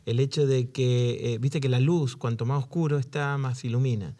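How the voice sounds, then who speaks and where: A man speaking in an easygoing Bible discussion. He reads scripture aloud and slips in jokes. His pitch sits in the middle range. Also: fairly even intonation, moderate pace, warm tone. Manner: joking, uses voseo, reads scripture aloud. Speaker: co-host of a Bible study show.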